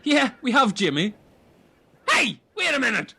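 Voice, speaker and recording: A dim, earnest castaway; very high, lively voice. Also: male voice, a little slow, breathy edge, exaggerated voice. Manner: earnest, trivia-obsessed rambling. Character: dim-witted rambling male castaway.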